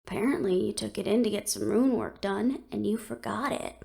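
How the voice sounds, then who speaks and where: A woman playing a composed, protective fantasy adventurer in an audio roleplay, easygoing, teasing and soft-spoken, with a creaky voice. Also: mid-range voice, steady tone, brisk, no room sound, studio quality. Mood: teasing, fond.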